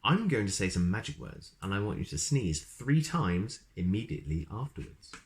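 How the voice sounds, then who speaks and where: A man voicing a wise old storybook wizard, brisk and pompous, given to grand exclamations. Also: on the low side, big pitch swings. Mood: commanding.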